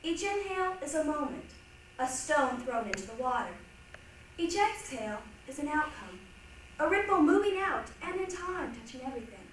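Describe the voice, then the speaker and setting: Woman, high lively voice, theatrical recitation, dramatic delivery, distant echoey hall. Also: moderate pace. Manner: expressive, carefully dramatic delivery. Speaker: reader's theatre performer. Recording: echoey hall from far off, faint noise.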